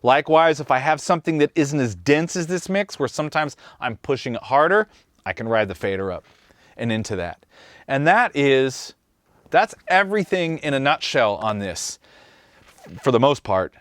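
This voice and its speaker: A man from Kansas talks through his studio mix in an easygoing, conversational way, like a host showing his own gear. His voice is smooth and clear and sits at an ordinary pitch. The sound is dry and close, with no room echo. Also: sing-song, moderate pace. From Kansas, USA. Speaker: host, mixing engineer and drummer. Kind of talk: casual studio tutorial. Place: recording studio control room.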